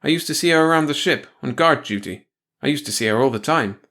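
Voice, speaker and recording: A man's voice: fairly high pitch, animated, fast talker, pushed and bright, pitched above the performer's own voice. Man playing a mopey, defensive spaceship crewman. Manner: slangy, defensive.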